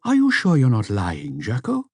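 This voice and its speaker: A man's voice: mid-range voice, huge pitch swings, moderate pace, a little mellow, breathy edge, dry recording, studio quality. Man voicing a hospitable, anxious woman in her thirties. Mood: serious, anxious.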